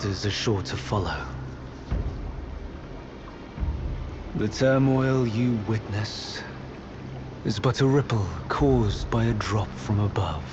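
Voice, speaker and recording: An actor playing an imperious, grandly menacing immortal in a video game, declaiming pompously. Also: male voice, fairly low pitch, measured pace, muffled recording, very noisy, natural voice. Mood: lofty. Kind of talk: video game character acting.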